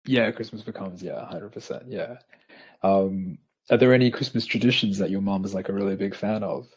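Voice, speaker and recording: A man interviewing a guest in a friendly, casual way, pausing often with ums and restarts. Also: sits low, husky. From Peru, raised in Australia.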